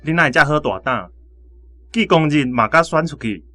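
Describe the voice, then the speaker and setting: Young taiwanese soldier buddy asking questions, lively intonation, faint music, radio drama, taiwanese accent. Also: male voice, on the high side, moderate pace. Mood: questioning.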